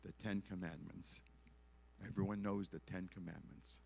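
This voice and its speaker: A man's voice: sits low, steady tone, moderate pace, gravelly, darkish tone, husky. An older man, a concert pianist, giving an earnest, pleading testimony at a church concert and repeating his phrases. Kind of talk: evangelistic testimony from a stage.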